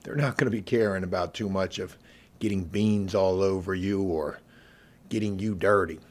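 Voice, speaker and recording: A man playing a middle-aged veteran inmate who bosses the prison kitchen, in an ASMR role play with a gravelly, husky voice and a folksy, intimidating manner. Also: sits low, lightly acted. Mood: menacing. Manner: folksy, intimidating, drawn-out menacing delivery. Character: gruff, bossy veteran male prison inmate running the kitchen.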